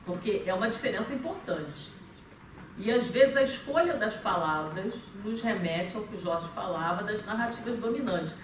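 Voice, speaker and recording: A woman with a Brazilian Portuguese accent giving a thoughtful talk at a meeting, pausing often on fillers and restarting her phrases. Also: mid-range voice, animated. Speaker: conference speaker. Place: meeting room. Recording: echoey room, far from the mic, noisy.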